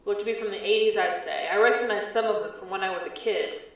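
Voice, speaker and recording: A woman's voice: mid-range voice, quick pace, vocal fry, a bit bright. A woman playing a wary field agent in an audio drama, reporting tersely and conversationally as she explores an eerie building over a radio link. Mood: wary, observant. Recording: echoey, distant, muffled transmission.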